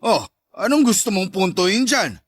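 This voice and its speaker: A man's voice: high voice, very animated, moderate pace. A man playing a domineering, jealous ex-husband who sneers and taunts in an audio drama. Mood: taunting. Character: domineering, mocking macho ex-husband, jealous and scornful. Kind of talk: Tagalog audio drama dialogue.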